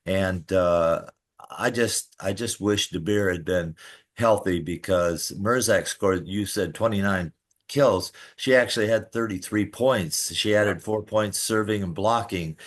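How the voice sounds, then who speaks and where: A man with a low, deep voice gives sports analysis on a podcast. He talks in a measured, explanatory way, pausing as he works through each point.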